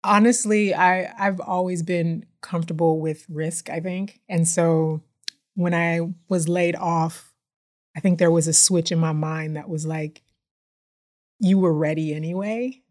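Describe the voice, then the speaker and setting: A woman's voice: on the low side, fairly even intonation, a little slow. A woman from Houston, a chemist and company founder, talking casually as an interview guest and often tagging her sentences with "right?". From Houston, Texas. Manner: chatty, frequently tags sentences with "right?".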